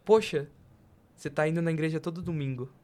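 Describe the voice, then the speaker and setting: A Brazilian man with a fairly high voice talks as a podcast host. His delivery is casual and motivational, built on emphatic rhetorical questions. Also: fast, dark tone. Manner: emphatic, rhetorical, poses questions and acts out quotes.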